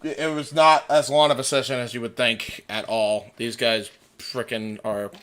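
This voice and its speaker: A man hosting a casual livestream chat, talking in an excitable way and swearing freely. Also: mid-range voice, moderate pace, smooth. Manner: profane, excitable. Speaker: livestream host.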